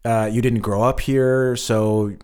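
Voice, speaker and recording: A man's voice: mid-range voice, steady tone, slow, a little mellow, smooth. An American man co-hosting a podcast, chatting thoughtfully and stammering as he starts his sentences. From United States. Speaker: podcast co-host. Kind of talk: casual chat.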